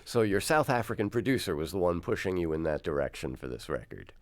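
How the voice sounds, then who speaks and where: A man's voice: low voice, expressive, quick pace, no echo. An older man hosting a radio interview in a studio, asking curious questions. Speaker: radio host. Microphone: studio mic with headphones.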